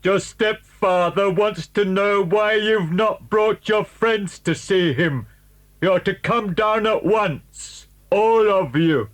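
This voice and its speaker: An actor playing a strange, stern manservant in a children's audio drama, speaking in a deliberate, commanding way. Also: male voice, sits high. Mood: stern, ominous. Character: strange, stern deaf manservant in smugglers' house.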